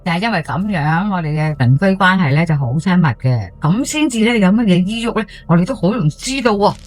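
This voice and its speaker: A woman plays a housewife in her sixties from an old housing estate, with a Hong Kong accent and a slightly low voice. She is chatty and superstitious, spinning an audio-drama ghost tale like neighborhood gossip, full of drawn-out particles and exclamations. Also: animated. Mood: gossipy, chatty. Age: 60s. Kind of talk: first-person ghost story monologue for audio drama.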